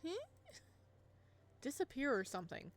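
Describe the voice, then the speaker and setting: A woman hosting a visual novel playthrough, laughing and reacting excitedly. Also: sits high, measured pace, no echo. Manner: giggly, excitable, animated reactions. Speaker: let's play host.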